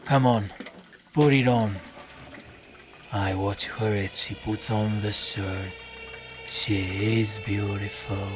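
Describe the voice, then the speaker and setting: An actor playing a battered, deadpan, hard-drinking folk-hero detective who narrates his own audio drama. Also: lowish voice, slow pace, long pauses. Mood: weary. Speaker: lead character and narrator. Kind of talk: first-person audio drama narration and dialogue. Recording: music under the voice.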